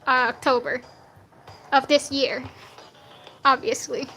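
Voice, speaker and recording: A woman's voice: high-pitched, steady tone, slow, sharp tone, slight background noise, poor audio. A nineteen-year-old woman with a youthful voice that sounds younger than her age, chatting casually in a slangy, rambling, self-deprecating way as she streams her drawing. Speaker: streamer drawing fan art live. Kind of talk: casual livestream chat while drawing.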